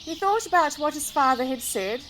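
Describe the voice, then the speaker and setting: A woman reads a children's storybook aloud in an expressive way to young listeners, recorded outdoors in a garden. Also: sits high, normal speed. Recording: outdoor recording with clear background noise, birdsong. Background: birdsong.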